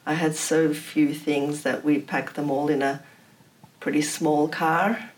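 A woman's voice: deep voice, level tone, slow pace. A woman from Poland who grew up in Australia, answering interview questions with personal recollections. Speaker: interview guest. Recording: distant from the microphone.

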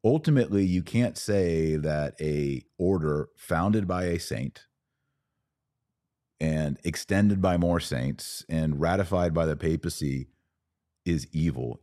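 Male podcast host, extremely deep register, conversational delivery, dry studio-like sound. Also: measured pace, darkish tone, no room sound. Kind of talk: casual podcast discussion.

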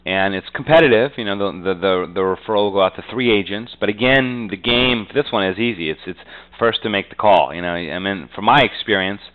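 A man's voice: lowish voice, fairly quick, fairly bright tone, poor audio. A man giving casual business interview answers as a real estate agent, conversational and peppering his talk with "you know".

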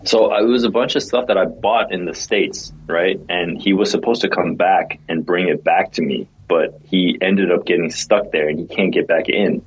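A man co-hosting a podcast in casual chat, with a husky voice on dull, lo-fi audio. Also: low-pitched, moderate pace, few pauses. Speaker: podcast co-host.

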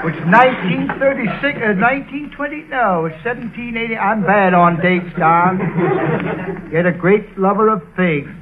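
A man playing a befuddled comic storyteller in an old radio show, halting and pompous, with flowery phrasing and repetition. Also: sits high, lightly acted. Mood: muddled. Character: befuddled, hesitant comic storyteller with absurd logic. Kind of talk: old-time radio comedy patter. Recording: noisy, tinny old-time radio recording.

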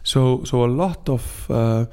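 Man, Icelandic accent, medium-pitched voice, casual podcast guest, halting phrasing, searches for words. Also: a little mellow, smooth. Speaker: singer-songwriter and storyteller, podcast guest.

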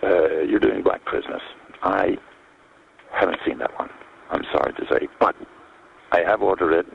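A hoarse man with a cold, leaving a rambling, self-mocking voicemail from his balcony at night. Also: low-pitched, moderate pace, slight background noise. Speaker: listener calling in a voicemail. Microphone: phone voicemail. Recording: muffled phone voicemail.

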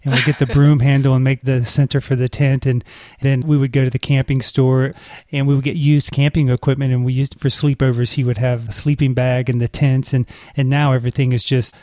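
A man's voice: mid-range voice, level tone, fairly quick, a little mellow, no echo. Easygoing male podcast host, dry sound. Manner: easygoing and joking, asks long rambling questions. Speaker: podcast host, speech therapist. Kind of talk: casual interview.

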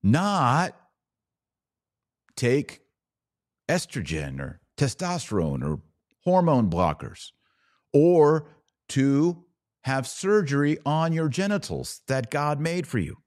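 A man's voice: a little high, big pitch swings, takes it slowly, pauses often, a little mellow, dry recording, studio quality. An American man giving opinionated reaction commentary as a podcast host, emphatic and sarcastic, sometimes mimicking the people he disagrees with.

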